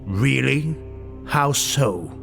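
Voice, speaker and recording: A man voicing a proud, suspicious highborn lord in an audiobook, curt and commanding. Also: lively intonation, drawn-out words, gravelly, over music, heavily acted. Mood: demanding.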